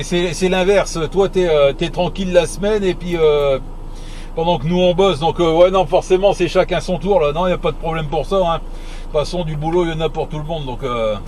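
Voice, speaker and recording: High-pitched Frenchman on CB, noisy surroundings. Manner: rambling, repeats phrases, colloquial. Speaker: CB radio operator. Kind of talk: casual CB radio chat.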